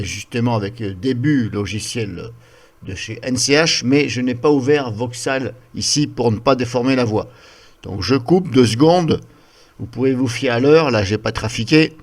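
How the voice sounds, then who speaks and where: A man talks through a software tutorial at an ordinary middle pitch. He explains informally, circling back over his points and rambling a little. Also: normal speed.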